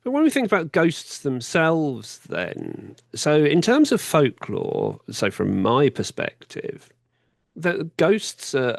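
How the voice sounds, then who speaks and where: A man's voice: medium pitch, vocal fry, no echo, studio quality. A British man hosting a podcast interview, talking conversationally and reflectively, building points through repetition and questions.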